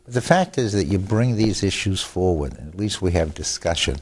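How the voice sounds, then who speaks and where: A man's voice: deep voice, animated, a little fast, breathy edge. An older man, a lawyer whose first language is Italian, talking in a studio interview with restarts and chuckles. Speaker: lawyer guest. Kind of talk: studio talk show interview.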